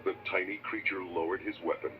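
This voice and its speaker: A man's voice: low-pitched, fairly even intonation, fairly quick, highs cut off, noisy background. A man giving dramatic scripted narration for a children's read-along adventure. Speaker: storybook narrator. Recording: old cassette, music behind. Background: music.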